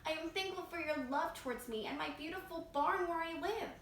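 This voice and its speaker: Woman voicing an excited storybook turkey, very high. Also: brisk, recorded from a distance. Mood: proud, grateful. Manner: exclaiming, gleeful. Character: excited, proud, grateful male farm turkey. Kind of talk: children's storybook read-aloud. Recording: roomy echo, faint background noise.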